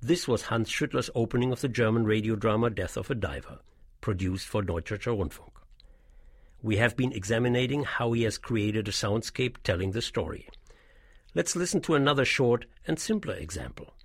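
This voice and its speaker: Male narrator for scripted audio tour, slightly deep, rough-edged husky voice, very dry sound. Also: lively intonation, normal speed, dry recording.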